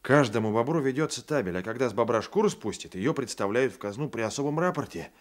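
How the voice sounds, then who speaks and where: An actor playing a blunt, loyal provincial Cossack sergeant, folksy and emphatic, in a radio play. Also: male voice, medium pitch, lively intonation, fast, close to an everyday voice. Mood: wry. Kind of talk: Soviet radio play acting.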